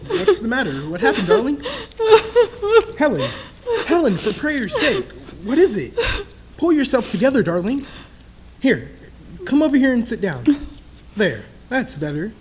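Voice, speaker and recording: A woman playing a soothing husband in a radio play, husky, coaxing and reassuring. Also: a little high, big pitch swings, measured pace, pauses often, a little hiss or hum. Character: soothing husband just home from business.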